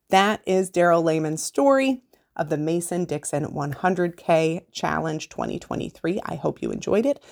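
A woman's voice: on the low side, vocal fry, a little mellow. A woman with an American accent, a podcast host reading a written story aloud.

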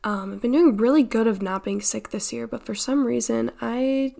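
A young woman in her late teens talks as a podcast host in a fairly high voice with plenty of vocal fry. She sounds slightly stuffed up from congestion. Her delivery is chatty and informal, like a personal monologue with frequent filler phrases. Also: normal speed, dark tone. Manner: chatty, informal, peppered with "like" and "you know".

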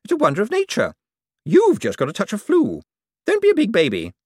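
A man voicing a spoiled, haughty young rich woman who talks in a shrill, bossy, dismissive way. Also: wildly swooping pitch, fairly quick, darkish tone, husky, no echo, studio quality, exaggerated voice.

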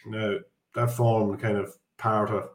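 Irish guy in his forties chatting on a podcast, low monotone voice, lots of ums, roomy echo. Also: takes it slowly. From Ireland. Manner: chatty, rambling, with many fillers and restarts. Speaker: guest, construction business director. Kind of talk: casual podcast interview. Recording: echoey room.

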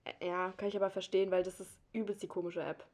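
A woman's voice: lowish voice, flat delivery, quick pace, a little mellow. A young woman co-hosting a podcast, telling a work anecdote in casual chat and laughing often. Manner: laughs often, tells anecdotes with acted quotes. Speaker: podcast co-host.

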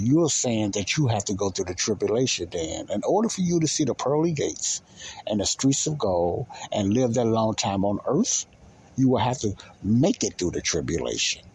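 A man's voice: fairly low pitch, darkish tone, slightly rough. A man teaching the Bible in an informal sermon, speaking emphatically, with repetition and rhetorical questions.

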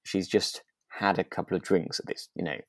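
A man's voice: low voice, steady tone, normal speed, dry recording, clean studio sound. A man co-hosting a podcast in a casual style, tentatively thinking aloud as he talks. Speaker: podcast co-host. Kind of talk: relaxed casual discussion of a radio drama.

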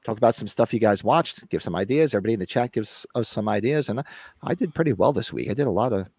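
Co-host, male, lively, fast talker, voice fading, dry close sound. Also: lowish voice, slightly rough, no echo. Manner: lively, joking, reads viewer comments aloud. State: losing his voice. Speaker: podcast co-host. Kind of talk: casual chat, reading live chat.

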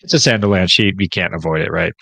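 A man's voice: low-pitched, fast talker, creaky, pushed and bright, no room sound. A man co-hosting a casual podcast, summarizing chapters in a loose, informal way with frequent restarts. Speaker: podcast co-host.

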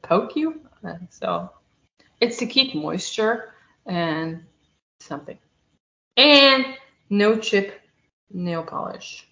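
A woman co-hosting a casual chat, talking in a rambling, lively way about her week as a church school teacher. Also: mid-range voice, slow pace, pauses often. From outside the United States. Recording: dull lo-fi sound.

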